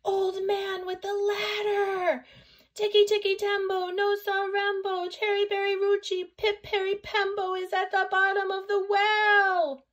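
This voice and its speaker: A woman's voice: extremely high pitch, monotone, measured pace, a bit bright, pitched above the performer's own voice. A woman voicing a small, frightened boy who pleads breathlessly and urgently in a children's storybook reading. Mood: desperate shouting.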